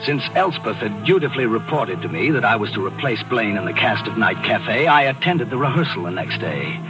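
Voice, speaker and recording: An actor playing a sly, smug, composed murderer in a 1940s radio thriller. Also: male voice, on the low side, sing-song, brisk, poor audio. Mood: smug, calculating. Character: sly male actor, smug murderer narrating his crime. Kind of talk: 1940s radio thriller acting and first-person narration. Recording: old radio broadcast recording with music.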